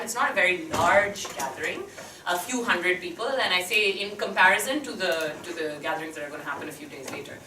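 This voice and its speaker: Woman lecturing in an echoey hall. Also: mid-range voice. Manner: thoughtful, many hesitations and fillers. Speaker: academic speaker at a seminar. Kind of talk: unscripted academic talk. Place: lecture hall, speaking without a mic. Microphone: no mic, picked up from a distance. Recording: echoey hall, distant mic, faint hiss.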